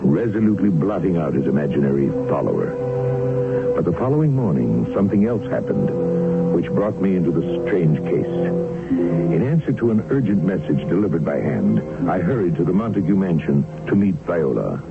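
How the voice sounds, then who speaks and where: An actor playing a grave, learned doctor who narrates an eerie case in a literary, dramatic radio drama style. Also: male voice, low-pitched, big pitch swings, creaky, full character voice. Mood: grave, ominous. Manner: measured, literary, dramatic delivery. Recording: tinny old radio recording, loud music behind.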